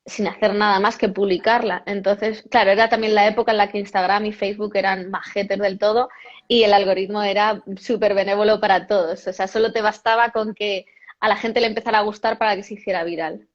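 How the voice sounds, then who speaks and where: A woman's voice: medium pitch, steady tone, a little fast. A woman, a yoga cartoonist, chatting casually as an interview guest, correcting herself often and laughing now and then. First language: Spanish. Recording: dull lo-fi audio.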